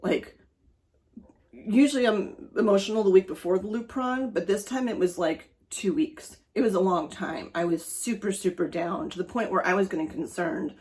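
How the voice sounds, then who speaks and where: A woman's voice: mid-range voice, normal speed, darkish tone. A middle-aged woman raised speaking German, talking in a rambling, chatty way for her personal vlog, with many fillers. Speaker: vlogger.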